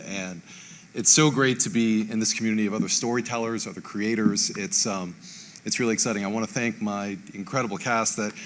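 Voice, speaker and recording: A man's voice: medium pitch, fairly bright tone. A man speaking off the cuff as an award winner, grateful and a little hesitant. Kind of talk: unscripted acceptance speech. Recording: faint noise, room ambience.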